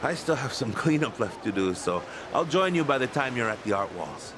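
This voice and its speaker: A friendly, dutiful volunteer organizer in a game cutscene , acted by a man. He explains things easily in a mid-pitched voice, with clear outdoor town noise behind him. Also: big pitch swings, fairly quick, lightly acted. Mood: informative. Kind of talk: video game voice acting.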